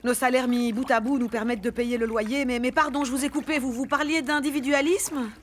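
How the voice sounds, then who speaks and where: A woman's voice: high voice, steady tone, fairly quick, slight background noise, close to an everyday voice. A young woman acting a timid, hesitant female employee in a radio drama, deferential and stammering.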